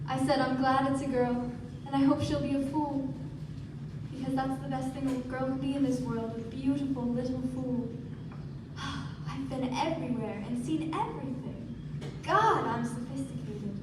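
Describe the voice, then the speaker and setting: A woman's voice: high voice, normal speed, recorded from a distance, lightly acted. A woman playing a dreamy, cynical young society wife in a gushing, theatrical manner for a live radio play. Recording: echoey stage recording from afar, noisy.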